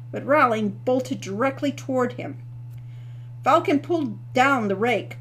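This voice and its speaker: A woman author reading her novel aloud as the narrator, in a steady storytelling manner. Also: a little high, a little hiss or hum, rough recording. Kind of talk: scripted audiobook narration.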